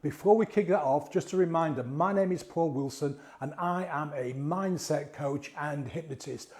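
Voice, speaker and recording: Man, croaky from a cold, warm mellow tone, chatty coaching talk, rhetorical questions. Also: fairly high pitch, moderate pace. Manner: conversational, asks rhetorical questions, repeats and restarts words. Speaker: mindset coach and hypnotist. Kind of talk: casual coaching talk to camera.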